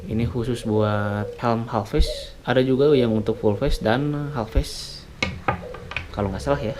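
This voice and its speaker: A man reviewing products in a casual, jokey, unscripted way on a noisy, clicky recording. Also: fairly low pitch. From West Java, Indonesia. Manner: jokey, chuckles often, colloquial.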